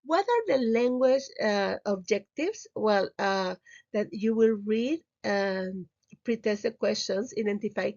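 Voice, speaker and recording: A woman's voice: medium pitch, lively intonation, measured pace, darkish tone. A middle-aged woman from Peru with a Spanish accent, teaching a recorded slide lesson in hesitant, simple phrases with repeated words. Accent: Peruvian Spanish. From Lima, Peru. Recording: slightly muffled, dull audio.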